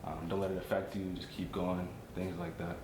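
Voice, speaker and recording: A man's voice: deep voice, level tone, brisk. A young man telling personal stories casually as a podcast guest. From South Sudanese family, lived in America. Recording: roomy echo, clear background noise.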